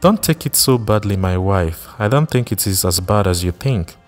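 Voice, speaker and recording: A man doing a worried, gruff husband. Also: fairly low pitch, expressive, normal speed, soft background music, natural voice. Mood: soothing. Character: worried husband, stern with his wife.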